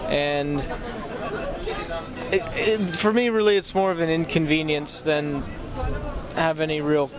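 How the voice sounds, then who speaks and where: Young American man, flat higher voice, crowd. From United States. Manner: measured, self-correcting. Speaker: interviewee, foreigner abroad. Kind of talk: street interview answers. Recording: crowd noise on a busy street.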